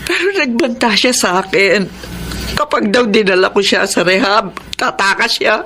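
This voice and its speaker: A woman's voice: mid-range voice, lively intonation, normal speed, pushed and bright, slight background noise. An actress playing a loving, anguished middle-aged mother with a plaintive, pleading manner in a melodramatic radio drama. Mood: anguished, worried.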